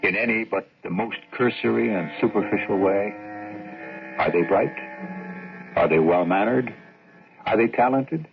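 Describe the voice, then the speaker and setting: Deep, gravelly man hosting radio mystery. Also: background noise. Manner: grave, deliberate, rhetorical. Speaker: radio mystery show host. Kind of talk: dramatic scripted narration. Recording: muffled old radio broadcast.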